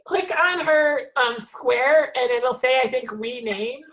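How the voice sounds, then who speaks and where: A woman's voice: high voice, takes it slowly, fairly bright tone, poor audio. A woman hosting a group video call, upbeat and chatty as she welcomes people. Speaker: host. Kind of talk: casual video chat.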